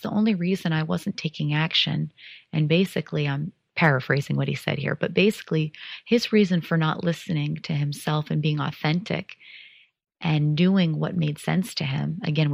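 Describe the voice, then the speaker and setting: Low, gravelly-voiced woman. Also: dry recording. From United States. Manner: calm, earnest and encouraging. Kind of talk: reflective monologue.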